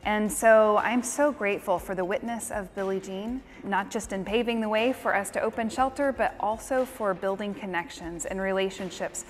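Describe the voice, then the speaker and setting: A woman pastor in her thirties speaking in a measured, articulate way in an interview inside a church. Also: mid-range voice. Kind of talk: interview testimony. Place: indoors in a church.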